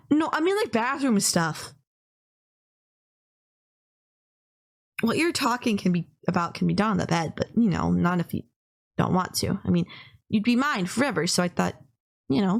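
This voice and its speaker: A woman's voice: fairly low pitch, vocal fry. A woman playing a polite, gushing young female kidnapper in an intimate anime-style roleplay, earnest, apologetic and rambling, obsessively in love. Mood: flustered.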